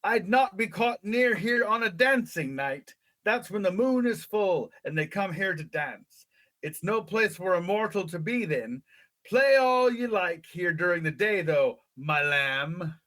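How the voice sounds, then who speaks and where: Guy doing a kindly old shepherd, high voice, rustic english dialect, folksy storybook type. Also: lively intonation, measured pace, a bit bright. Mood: grave warning. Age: older.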